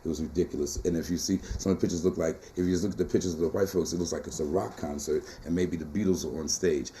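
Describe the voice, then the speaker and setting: A man with a low, mellow tenor-baritone voice speaks with animated, rhythmic emphasis, often circling back on his phrases. The talk is loose and conversational, with a faint hiss of noise behind him. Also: fast. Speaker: poet and teacher being interviewed. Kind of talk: casual conversational interview.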